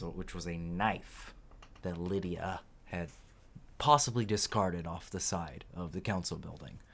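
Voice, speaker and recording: A raspy, hoarse young man casually commenting on a game playthrough, with lots of ums and halting restarts. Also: sits low. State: hoarse from illness. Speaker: let's play host. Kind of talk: game playthrough commentary, reading game text aloud.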